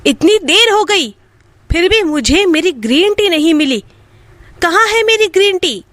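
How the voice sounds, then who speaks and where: A woman's voice: very high-pitched, animated, measured pace, fairly bright tone, dry recording, pitched above the performer's own voice, heavily acted. A woman voicing a spoiled, rude young city wife in a storybook tale, haughty and complaining. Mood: angry demanding. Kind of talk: Hindi bedtime moral story dialogue.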